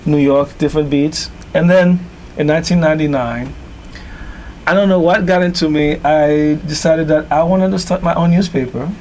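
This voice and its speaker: A man from Haiti, founder of a newspaper, answering interview questions in a measured storytelling way. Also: fairly high pitch, fairly even intonation, a little mellow, a little hiss or hum, low-quality recording.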